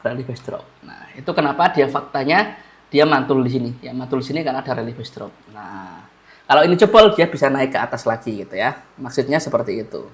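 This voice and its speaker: A man's voice: mid-range voice, animated, fairly quick. A man with a stuffy, blocked nose, feeling unwell, walking viewers through a chart analysis in a casual way and often repeating key phrases. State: stuffy-nosed, under the weather. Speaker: trading analyst.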